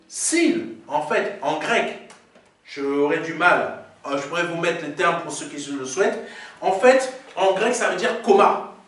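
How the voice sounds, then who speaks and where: A man's voice: high voice, fairly bright tone. A male pastor preaching a Sunday sermon in a church, teaching and explaining Greek words, often stopping to say "Amen". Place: church sanctuary. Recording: very echoey hall, mic far off.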